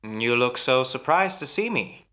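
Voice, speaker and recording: A smooth radio-melodrama husband played by a male actor, with a very lively, swooping delivery. He soothes and coaxes in a patronizing way, with quiet menace under the concern. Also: medium pitch, measured pace. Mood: smoothly insistent. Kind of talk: old-time radio melodrama acting.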